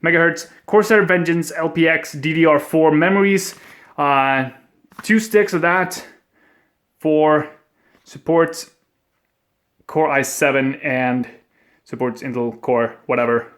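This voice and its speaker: A young man with a Swedish accent, unboxing computer parts in a casual, excitable vlog at home, swearing and joking as he goes. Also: a little high, slow, long pauses. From Sweden. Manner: excitable, swears a lot, jokey. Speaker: gaming vlogger. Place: at home indoors. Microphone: webcam.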